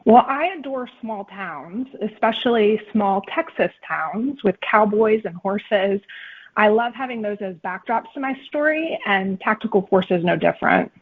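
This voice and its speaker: Female author guest, husky, mid-pitched, concise conversational answers, slightly lo-fi audio. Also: expressive, measured pace. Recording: slightly dull, band-limited audio.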